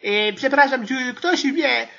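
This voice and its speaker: A woman's voice: sits high, slow, creaky, a bit bright, highs cut off, exaggerated voice. A woman playing an exasperated female English teacher in an amateur comedy audio sketch, whining and grumbling as she scolds her class. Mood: flustered, exasperated.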